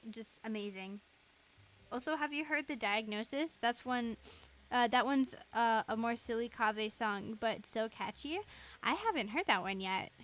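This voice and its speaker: Young woman game streamer, kinda high voice, chatty and gushy, casual stream talk. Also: expressive, normal speed, a bit bright. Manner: chatty, gushing. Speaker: game livestreamer. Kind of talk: casual livestream chat.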